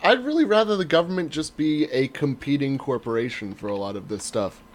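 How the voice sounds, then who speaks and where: A man's voice: mid-range voice, lively intonation, fairly quick. A man co-hosting a casual podcast, giving his opinions in a blunt, emphatic way. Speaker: podcast co-host.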